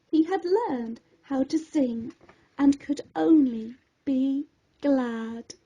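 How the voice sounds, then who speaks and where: A woman reading a rhyming children's bedtime story aloud in a theatrical, expressive way. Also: high-pitched, drawn-out words, lo-fi sound.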